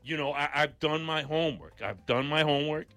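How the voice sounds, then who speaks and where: An older man from Greece, a native Greek speaker, talking as a guest in a radio interview in an animated, chatty way. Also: on the high side, a little fast, soft background music. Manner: animated, grateful, chatty with restarts. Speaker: guest musician.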